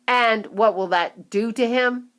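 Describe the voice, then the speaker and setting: A blustering, exasperated father who shouts his complaints, voiced by a woman reading the story. He sounds fed up and loud. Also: fairly high pitch, slow pace, voice pushed up. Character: exasperated blustering father, middle-aged man.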